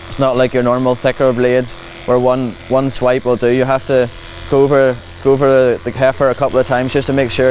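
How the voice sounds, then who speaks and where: Man, Northern Irish accent, little pitch movement, practical instructor commentary, plain and reassuring, noticeable electric buzz in background. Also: low-quality recording. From Northern Ireland. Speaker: livestock clipping demonstrator. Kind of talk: explanatory live demonstration commentary. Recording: clear buzzing clipper and machinery noise.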